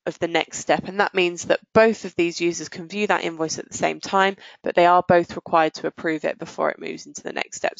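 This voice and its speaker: A woman presenting a software demo in a conversational, explanatory way, pausing and stretching words as she goes, on dull, muffled audio. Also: on the low side, vocal fry, dry recording.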